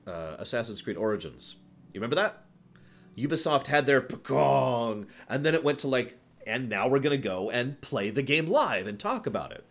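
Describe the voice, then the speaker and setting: A man hosting a livestream, chatting casually and opinionatedly with his viewers in an emphatic, conversational way. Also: medium pitch. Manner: emphatic, conversational, breaks off to address chat. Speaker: livestream host.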